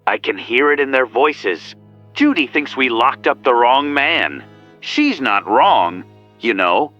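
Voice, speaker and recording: An actor playing a menacing male killer who calls a radio show, calm and teasing. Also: medium pitch, lo-fi sound, soft background music. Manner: slow, teasing, sinister. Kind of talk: audio drama acting.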